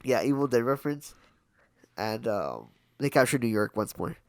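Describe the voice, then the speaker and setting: A young man co-hosting a podcast, with a hoarse, raspy voice, chatting casually through a film review with quick asides. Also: medium pitch, very animated, no room sound, clean studio sound. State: hoarse from illness. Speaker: podcast co-host.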